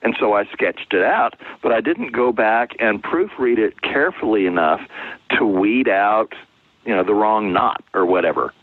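A man's voice: on the low side, animated, normal speed, no echo. A man being interviewed as a novelist, talking conversationally and explaining things through anecdotes. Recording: phone-like, narrow-band, dry sound.

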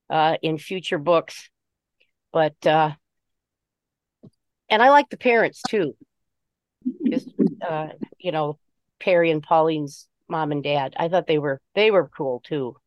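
A woman giving her opinions in an informal book discussion, speaking haltingly and deliberately as she searches for words. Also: lowish voice, expressive, no room sound, poor audio. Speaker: book group member.

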